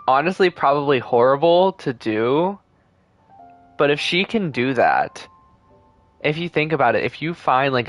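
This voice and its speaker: Male gaming streamer, mid-pitched, casual thinking-aloud commentary, faint music behind. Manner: musing, thinking out loud. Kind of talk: casual commentary over a game.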